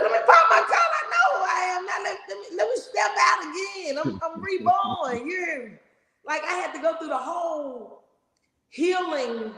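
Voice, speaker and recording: A middle-aged woman hosting a podcast, talking loudly and emphatically in a big voice. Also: on the high side. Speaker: podcast host. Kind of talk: motivational casual talk. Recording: echoey room with long reverb.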